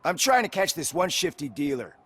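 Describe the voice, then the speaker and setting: A man's voice: on the high side, sing-song, a little fast, fairly bright tone, slightly rough, exaggerated voice. A man playing a plain-spoken, streetwise character in a crime video game, insistent and matter-of-fact.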